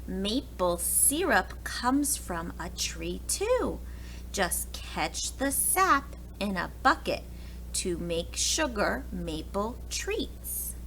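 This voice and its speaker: A woman's voice: mid-range voice, big pitch swings, very slow, fairly bright tone, poor audio. An American woman, a preschool teacher, reads a picture book aloud expressively, addressing young children with questions and asides. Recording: clear background noise.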